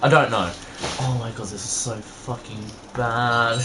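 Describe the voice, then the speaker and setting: A man co-hosting a casual read-aloud of fan fiction, halting and exasperated, swearing as he goes. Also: medium pitch, steady tone. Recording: noisy room background.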